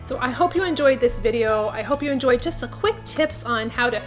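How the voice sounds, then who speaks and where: A woman's voice: fairly high pitch, expressive, brisk, faint music behind. A woman hosting a beauty channel, speaking to camera and giving tips in an emphatic, encouraging way with repeated key words.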